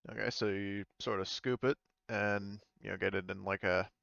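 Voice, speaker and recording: A man's voice: lowish voice, steady tone, moderate pace, gravelly, a bit bright, no echo, dull audio. A man running a tabletop roleplaying game, narrating and ruling in a casual, conversational way. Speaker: game master.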